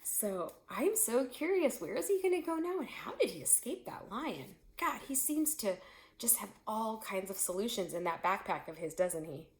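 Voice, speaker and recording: A woman's voice: mid-range voice, big pitch swings, moderate pace. A woman reading a children's story aloud and narrating it expressively. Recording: echoey room.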